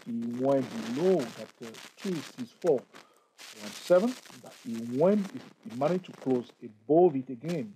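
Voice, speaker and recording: A man's voice: medium pitch, expressive, a little slow, warm tone, poor audio. Chatty man teaching from charts. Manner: chatty, repetitive, asks the viewer questions; jokes and laughs at himself. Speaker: trading tutor. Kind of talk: screen-share chart tutorial. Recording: faint background noise.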